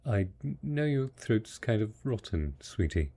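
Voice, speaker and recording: A man's voice: lowish voice, big pitch swings, unhurried, warm tone. A man playing a devoted post-apocalyptic husband in an intimate audio drama, speaking tenderly and patiently as he coaxes his monstrous spouse. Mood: coaxing, tender. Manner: tender, coaxing, patient, full of pet names.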